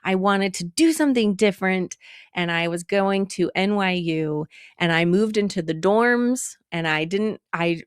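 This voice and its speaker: A woman's voice: medium pitch, measured pace, smooth, no echo, studio quality. A woman from Utah, a comedian guest telling personal stories in an interview, laughing often and acting out her own past thoughts. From Utah, USA.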